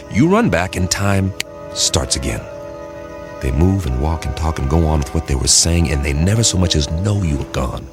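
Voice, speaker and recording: An American man playing a measured, thoughtful spaceship captain with quiet authority in a radio drama. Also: low voice. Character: steady, thoughtful spaceship captain leading his crew.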